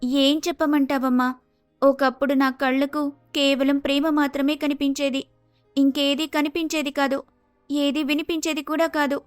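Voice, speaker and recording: Woman acting a suffering young wife, high voice, little pitch variation, plaintive, family melodrama storytelling. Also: flat delivery, moderate pace, dry recording, faint music behind, natural voice. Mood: regretful.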